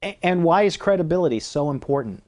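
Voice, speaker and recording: A man's voice: fairly high pitch, lively intonation, fast, darkish tone. A man hosting an interview, delivering prepared introductions and questions. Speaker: host interviewer.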